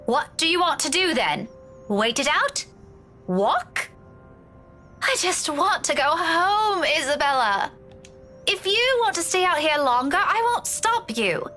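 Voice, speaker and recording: A woman's voice: sits high, very animated, takes it slowly, pushed and bright, full character voice. An actress in a visual novel playing a prickly, weary young woman who speaks curtly and impatiently. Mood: exasperated, weary. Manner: curt, sardonic, impatient. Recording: music behind the voice.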